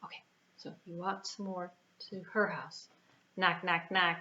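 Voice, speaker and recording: Slow, bright-voiced woman telling children's stories. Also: fairly low pitch, flat delivery, dull audio. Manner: simple phrases with spoken sound effects. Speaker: children's library puppet show storyteller. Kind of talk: storytelling for young children.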